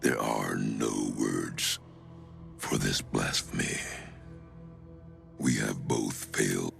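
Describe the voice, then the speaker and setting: An actor playing a grim, murderous undead cult elder in a fantasy game, with solemn, archaic pronouncements. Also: male voice, steady tone, drawn-out words, heavily acted. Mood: scornful. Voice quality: very deep, gravelly. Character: dread undead male cultist elder, grim, murderous zealot. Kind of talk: dramatic fantasy game acting. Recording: music behind the voice.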